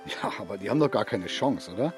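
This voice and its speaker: A man's voice: fairly low pitch, animated, fast talker, over music, lightly acted. An actor playing a timid, hesitant foster father who stammers and evades in a children's radio play. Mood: hesitant, uneasy.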